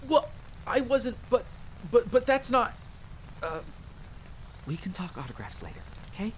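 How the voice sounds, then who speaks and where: A man's voice: on the high side, big pitch swings, normal speed, fairly bright tone, slight background noise. A man voicing an eager, friendly, boyish vampire hunter in an ASMR roleplay, chatty and easily flustered. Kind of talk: dramatic ASMR roleplay audio drama. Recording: faint rain ambience behind. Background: rain ambience.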